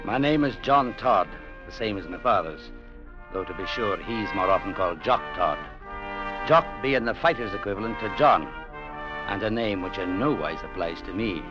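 An actor playing an earnest, peace-loving young Scottish man telling his adventure in a formal, measured radio-drama manner. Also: fairly low pitch, animated, lightly acted. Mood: earnest, proud. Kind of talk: 1940s-50s radio drama acting. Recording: muffled old radio recording, music behind.

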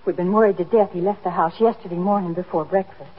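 An actress playing an anxious, defensive daughter, worried and insistent, in a 1950s radio drama. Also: female voice, fairly low pitch, steady tone, quick pace, slightly rough. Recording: dull 1950s radio recording.

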